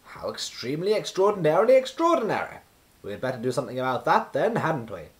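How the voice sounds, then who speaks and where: Wise old wizard for a children's storybook, voiced by a male narrator: brisk, grandly emphatic, very animated delivery. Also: a little high, pitched above the performer's own voice. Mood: emphatic, indignant. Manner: emphatic, exclamatory, grandly wordy.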